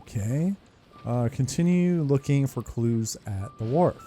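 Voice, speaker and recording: A woman's voice: rumbling low, animated, a little slow, warm tone, faint music behind. A woman giving casual, offhand and wry commentary as she plays through a video game. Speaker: gameplay streamer.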